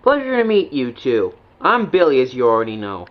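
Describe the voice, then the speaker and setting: A man's voice: medium pitch, wildly swooping pitch, brisk, highs cut off. A man voicing a cocky newcomer tank engine in a children's train story, brash and prone to whining. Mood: eager. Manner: brash, eager, whiny when displeased.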